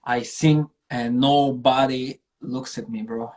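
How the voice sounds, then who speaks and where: A man's voice: mid-range voice, steady tone, takes it slowly, darkish tone. A man from Bulgaria telling stories casually as an interview guest, speaking earnestly and emphatically with slang and some swearing. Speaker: interview guest, singer and street performer.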